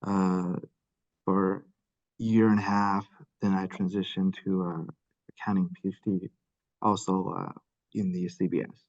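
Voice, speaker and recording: A man's voice: low-pitched, darkish tone, dry recording. A man introducing himself on a panel in a plain, hesitant way with many fillers, on a video call. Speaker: panelist, accounting PhD. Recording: dull dry lo-fi sound.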